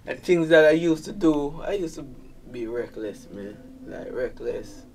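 A man's voice: a little high, animated, unhurried, recorded from a distance, a little hiss or hum. A man with a thick Jamaican accent, a dancehall musician talking casually as a guest, leaning on "man" and "you feel me". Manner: laid-back, peppers talk with "man" and "you feel me". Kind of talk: casual interview chat.

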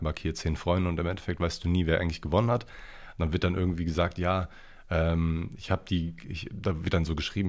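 Young man, from the Ruhr area, very low pitch, congested nasal tone, blunt slangy chat. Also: creaky, a little mellow. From Ruhr area, Germany. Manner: rambling, colloquial, blunt slang. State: congested, slightly nasal from a cold. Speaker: podcast host. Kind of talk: casual solo podcast chat.

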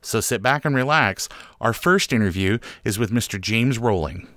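A man's voice: lowish voice, lively intonation, normal speed, a bit bright, no echo. A man presenting podcast interviews in a casual, mock-formal chatty style. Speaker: podcast host introducing interviews.

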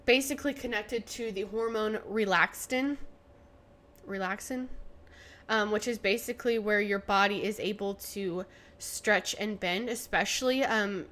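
A woman's voice: medium pitch, fairly bright tone. Nasal, congested young woman podcaster. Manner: chatty, loose, many "um" and "like" fillers. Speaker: podcast host. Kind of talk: casual solo podcast talk.

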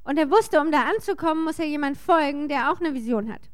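A woman working as a sermon interpreter, speaking in short, informal and somewhat halting phrases. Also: high-pitched.

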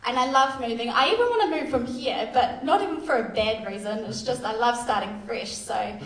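A woman's voice: a little high, animated, a little fast. A woman, giving a candid, self-deprecating church testimony full of fillers. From Australia. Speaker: youth worker sharing testimony. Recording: echoey hall, voice far from mic.

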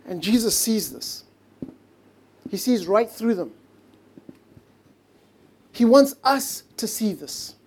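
A mellow, warm-toned man preaching a heartfelt sermon. He stretches out important words and sinks into soft, hushed moments for emphasis. English is a later-learned language for him. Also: high voice, expressive, slightly rough. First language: not English. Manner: earnest, stretches key words slowly, drops to a hush for emphasis. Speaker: pastor.

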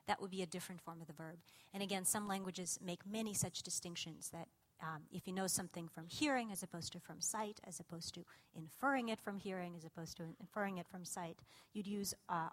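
Russian-native woman giving a lively lecture. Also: mid-range voice. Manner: lively and engaged, with frequent fillers. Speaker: cognitive scientist giving a lecture. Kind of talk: public lecture.

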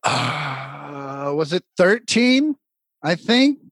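Male guest comic, relaxed storyteller, slightly high pitch, close dry sound. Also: extremely slow, dry recording. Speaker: guest comedian. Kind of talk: casual chat.

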